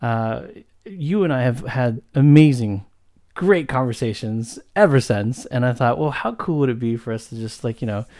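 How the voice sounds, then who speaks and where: A man's voice: mid-range voice, moderate pace, darkish tone, dry recording, clean studio sound. A man hosting a casual interview, speaking informally and effusively with hesitant, conversational phrasing. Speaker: interview host, company founder. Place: remote video call from Texas.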